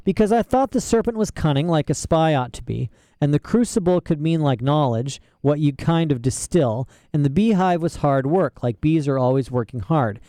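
A man's voice: a little high, animated, normal speed, warm tone, no echo, clean studio sound, natural voice. A man voicing an eager, curious young girl in a storybook reading, with rustic grammar and questioning tone.

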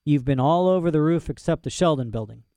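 A man's voice: on the high side, expressive, fast talker, mellow, no echo, clean studio sound. A man voicing a stern sardonic lord. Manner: curt, probing questions.

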